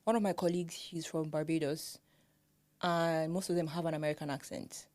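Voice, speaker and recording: Nigerian woman, young adult, podcast co-host, lower pitch, animated and laughing. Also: brisk. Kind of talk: casual chat.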